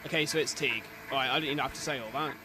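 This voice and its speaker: A man's voice: medium pitch, rapid-fire, noisy background. A British man doing informal live reaction commentary as a streamer, reading captions aloud and adding chatty asides. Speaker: streamer reacting to horror videos.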